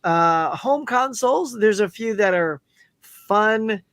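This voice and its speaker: A middle-aged man with a notably high voice tells stories with animated energy, acting out other people's lines as he goes. His voice sounds strained, as if it is giving out. The sound is close and dry, with no room echo. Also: slow pace. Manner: animated storyteller who acts out what others said. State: losing his voice. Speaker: guest YouTuber. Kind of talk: live stream chat.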